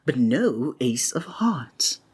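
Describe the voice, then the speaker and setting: A woman playing a flamboyant, sinister male stage magician in an ASMR roleplay, with breathy, honeyed, flowery theatrical speech that often drops into a whisper. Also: a bass voice, sing-song, takes it slowly, a little mellow, full character voice. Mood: showy, silky.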